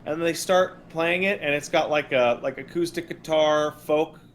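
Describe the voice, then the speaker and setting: Exasperated, higher-voiced male podcast co-host. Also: normal speed, a bit bright, a little hiss or hum. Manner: exasperated, hyperbolic, emphatic. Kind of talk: casual podcast chat, ranting commentary.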